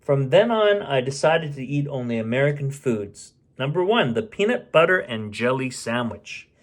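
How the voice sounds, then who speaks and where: A man's voice: medium pitch, big pitch swings, moderate pace. A man reading a storybook aloud expressively, with casual muttered asides as he goes. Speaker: bedtime story reader.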